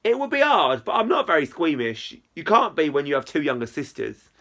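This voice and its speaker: Man voicing an eager, high-pitched young schoolgirl. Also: wildly swooping pitch, fairly quick, fairly bright tone, full character voice. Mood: chatty. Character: friendly, excitable schoolgirl who likes drawing and makeup. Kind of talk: children's storybook character dialogue.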